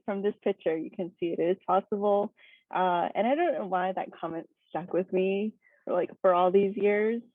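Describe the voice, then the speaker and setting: A young woman from Hawaii speaking as a guest presenter, telling her story in a relaxed, chatty way full of fillers and little laughs. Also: mid-range voice, no echo. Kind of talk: casual personal talk. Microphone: video call. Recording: dry tinny video call audio.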